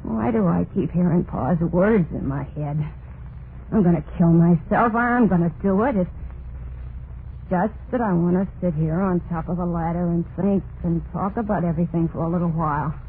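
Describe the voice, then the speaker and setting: An actress playing a tormented, murderous young wife in a vintage radio horror play, confessing in a brooding, repetitive monologue with plain folksy speech. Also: female voice, fairly low pitch, normal speed, narrow, tinny audio, lightly acted. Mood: obsessive, confessing. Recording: noisy, band-limited vintage radio recording.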